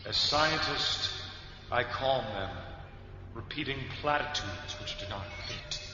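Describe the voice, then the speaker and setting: A man's voice: mid-range voice, lo-fi sound, lightly acted. A man playing a brooding scientist in an echoing monologue. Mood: reflective. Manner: slow, measured, reflective. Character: calm, reflective male scientist keeping a log. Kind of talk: radio drama inner monologue. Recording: echoing reverb with music.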